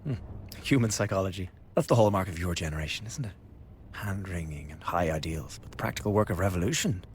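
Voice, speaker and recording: An actor playing a sardonic, contemptuous young man who mocks his father with glib, lively remarks in a radio drama. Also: sits low, fast, a little hiss or hum. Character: mocking, cold young man, the returning son.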